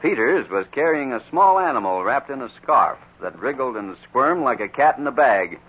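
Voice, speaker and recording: A man's voice: mid-range voice, sing-song, moderate pace, fairly bright tone, breathy edge. A man narrating a 1940s radio adventure serial in a dramatic, emphatic style on an old muffled recording.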